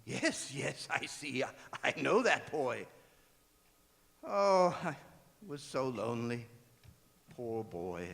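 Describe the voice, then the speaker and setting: An actor playing a fearful, regretful old miser in a theatrical radio drama, stammering and exclaiming, with music under him in a reverberant hall. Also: male voice, medium pitch, very animated, slow, gravelly, long pauses, a bit bright, exaggerated voice. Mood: sad, regretful. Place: performing arts center stage.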